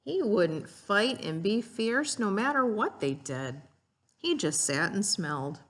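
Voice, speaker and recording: A woman's voice: medium pitch, very animated, slow pace. A woman reading a storybook aloud and teaching children, explaining simply and putting questions to her young listeners.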